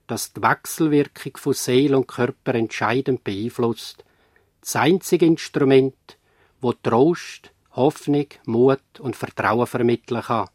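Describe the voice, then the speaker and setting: An actor playing a grave, reflective narrator of a radio play, addressing listeners in a measured way. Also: male voice, mid-range voice, no echo, studio quality, lightly acted. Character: grave, reflective male narrator concerned for humanity. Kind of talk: scripted radio drama narration.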